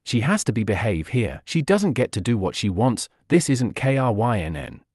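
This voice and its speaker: A man's voice: lowish voice, sing-song, normal speed, darkish tone, breathy edge, dry recording, exaggerated voice. Fretful young male kender, curious and whiny, dry close sound; a man voices him.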